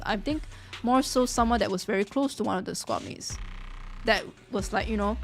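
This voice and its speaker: A woman live-reacting to a game story, expressive, emotionally invested and slangy, sometimes reading narration aloud. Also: mid-range voice.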